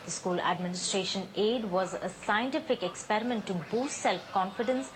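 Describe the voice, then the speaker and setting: A woman reading a news report in a measured, formal way. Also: medium pitch, a crowd in the background, poor audio. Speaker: news reader.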